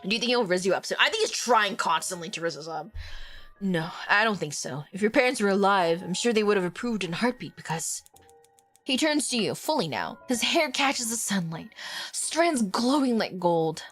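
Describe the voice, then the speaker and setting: A young woman streaming a romance game, congested from a cold, reacting excitedly between stretches of reading the game text aloud. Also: medium pitch, normal speed, faint music behind. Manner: excitable, swearing, swings between loud outbursts and quiet reading. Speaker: streamer and vtuber.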